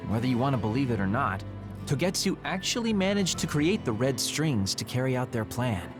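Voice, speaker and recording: Casting: male actor for cynical young game rebel, wry and blunt, mid-pitched with very lively intonation. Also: brisk, over music, natural voice. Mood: matter-of-fact. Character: cynical young man, defiant insider, wry and guarded. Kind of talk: English video game dub acting.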